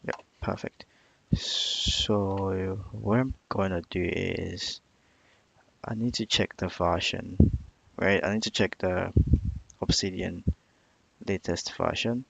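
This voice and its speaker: Low, gravelly man thinking aloud on stream. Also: fairly even intonation, a bit bright. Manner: halting, muttering to himself, repeats phrases. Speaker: live streamer learning software. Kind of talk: informal live coding stream, thinking aloud.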